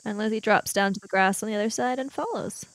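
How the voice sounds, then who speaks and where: A woman's voice: medium pitch, no room sound, poor audio. A woman chatting casually and playfully as she improvises as a player in a tabletop roleplaying game. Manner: playful, quick to joke.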